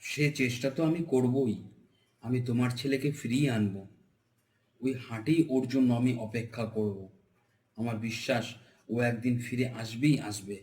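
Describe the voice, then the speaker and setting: A man's voice: mid-range voice, normal speed, darkish tone, roomy sound, lightly acted. A man voicing a sorrowful but hopeful village father in an earnest, emotional cartoon melodrama. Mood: consoling resolve. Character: sorrowful, hopeful village father awaiting his lost son.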